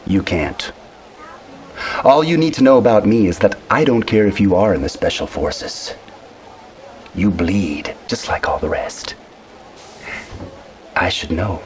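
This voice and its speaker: Game cutscene: hostile rebel fighter, low-leaning and unhurried, coldly threatening. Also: male voice, lively intonation, poor audio. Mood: cold menace. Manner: cold, threatening. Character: hostile, menacing male resistance fighter, bitter killer. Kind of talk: video game cutscene acting.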